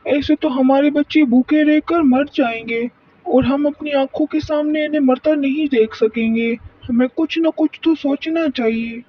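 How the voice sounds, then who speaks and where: A woman playing a concerned, earnest bird parent in an animated children's story, speaking in formal phrases. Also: high voice, a little slow, distant mic, a little hiss or hum. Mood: worried. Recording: dull, lo-fi sound.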